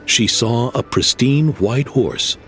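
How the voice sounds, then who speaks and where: A man's voice: medium pitch, slow pace, fairly bright tone. An actor playing a proud, elderly male innkeeper who tells old family legends and scolds skeptics. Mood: storytelling. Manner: grave, lecturing, theatrical. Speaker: guest house keeper. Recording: game audio with faint music.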